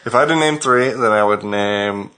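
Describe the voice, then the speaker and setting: A man's voice: lowish voice, moderate pace, fairly bright tone, clear, resonant tone. An American man from California hosting a podcast in casual conversation, talking with many hesitations and restarts. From California, United States. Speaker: podcast host.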